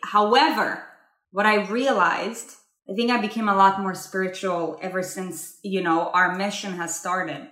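A woman's voice: mid-range voice, steady tone, measured pace, sharp tone, roomy sound. A woman from Israel co-hosting a casual talk about spirituality, emphatic and repeating herself as she addresses listeners directly.

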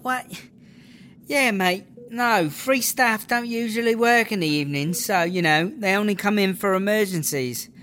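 A woman's voice: medium pitch, moderate pace, fairly bright tone, close to an everyday voice. A woman playing an injured, foul-mouthed British prison inmate in an audio drama, groaning in pain and exasperated. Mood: irritated, pained. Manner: sweary, exasperated, sarcastic.

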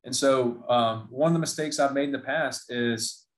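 A man's voice: mid-range voice, normal speed. Male coach, panel guest. Earnest and a bit repetitive. Roomy, echoey audio. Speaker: performance coach. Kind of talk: panel discussion. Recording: roomy echo.

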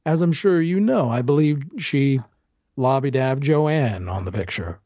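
A man playing a courteous, quietly menacing film studio boss in an audio drama, questioning slowly with insinuating hesitations. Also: mid-range voice, lively intonation, dry recording, pitched above the performer's own voice. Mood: smooth, patronizing. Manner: halting, deliberate, insinuating questioning. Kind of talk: 1920s-set audio drama roleplay.

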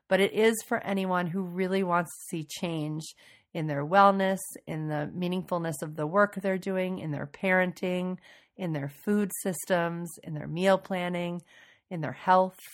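A woman hosting a casual podcast intro, raspy from getting over a cold, chatty and enthusiastic. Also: on the low side. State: recovering from a cold. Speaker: podcast host.

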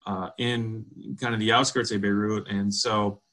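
Bright-voiced man hosting an interview. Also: lowish voice, level tone, low-quality recording. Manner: friendly, halting with fillers. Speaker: host. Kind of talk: video call interview.

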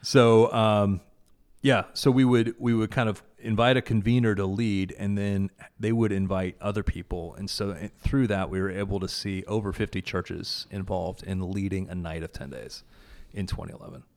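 A man's voice: lowish voice, steady tone, normal speed, a little mellow. A man casually and earnestly recounting a prayer gathering, with many fillers and restarts. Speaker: guest, prayer event organizer. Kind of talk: casual conversation about faith.

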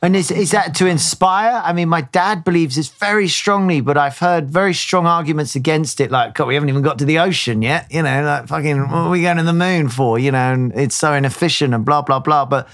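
A man co-hosting a podcast, chatting in an animated way and trailing off. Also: on the high side, brisk, few pauses. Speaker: podcast co-host. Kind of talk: casual podcast chat.

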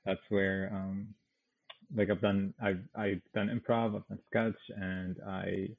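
Gravelly, husky man on a phone-like line. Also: low voice, little pitch movement, slow. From Damascus, Syria. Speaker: podcast guest, comedian, artist and writer. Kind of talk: casual interview conversation. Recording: tinny, band-limited phone-like sound.

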